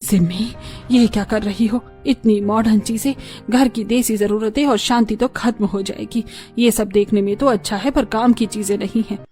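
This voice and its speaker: A woman's voice: mid-range voice, fairly even intonation, moderate pace, warm tone, soft background music, close to an everyday voice. A woman voicing a strict, traditional middle-aged mother-in-law in a cartoon, who scolds her daughter-in-law. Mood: scolding. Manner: scolding, stern. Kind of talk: cartoon voice acting.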